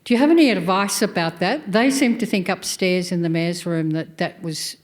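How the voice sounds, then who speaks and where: A woman's voice: fairly low pitch, normal speed. Woman asking a long question from the floor. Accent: Australian. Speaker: audience member asking a question.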